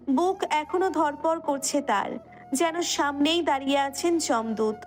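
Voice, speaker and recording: A woman narrator reading a romance story as dramatic scripted narration. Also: high-pitched.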